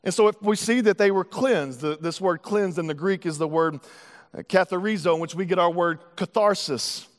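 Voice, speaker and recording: A man, a pastor delivering an emphatic sermon that rises to loud preaching. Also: high-pitched, moderate pace, big-room sound. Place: church auditorium.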